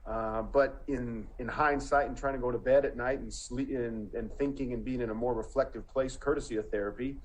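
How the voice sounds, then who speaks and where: A man's voice: mid-range voice, fairly even intonation, a little fast, few pauses, poor audio. Earnest man in his forties on a video call. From Montana. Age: 40s. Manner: earnest, thoughtful, with frequent restarts. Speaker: coach and panelist. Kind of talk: reflective panel discussion. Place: indoor room, video call.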